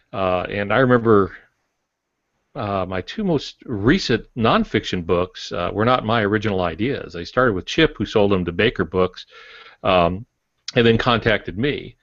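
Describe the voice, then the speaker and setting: A man talking casually as an author on a podcast, recounting his experiences in a measured, anecdotal way. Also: fairly low pitch, fairly bright tone, no echo. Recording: dull, dry close recording.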